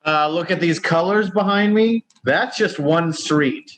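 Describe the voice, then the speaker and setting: A man's voice: a little high, measured pace, a crowd in the background. A man doing a hammy put-on Italian accent that keeps slipping, improvising a comedy travel bit over a video call. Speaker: comedy correspondent.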